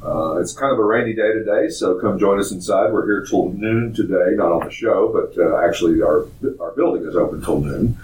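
A hoarse man with a raspy voice from a cold, hosting a casual, rambling car podcast in a studio. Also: sits low, brisk, few pauses, a little mellow, reverberant, far from the mic, slight background noise, poor audio. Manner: rambling, chuckling, with many fillers. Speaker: podcast host at a classic car dealership. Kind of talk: casual chat.

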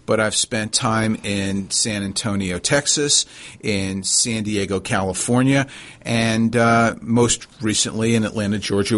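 A middle-aged man with a New York accent hosting a conversational radio talk show, speaking in a halting way with frequent pauses. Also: on the low side. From New York, USA.

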